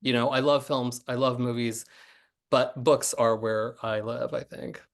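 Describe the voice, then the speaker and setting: A man with an ordinary mid-range voice joins a book discussion over a video call. He talks earnestly and fluently, peppering his points with "you know", casual and unhurried. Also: medium pitch, steady tone. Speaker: writer guest.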